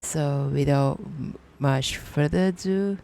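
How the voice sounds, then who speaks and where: A woman's voice: sits low, takes it slowly, gravelly, mellow, slight background noise. A woman live-streaming a video game with casual, hesitant commentary, often murmuring asides to herself.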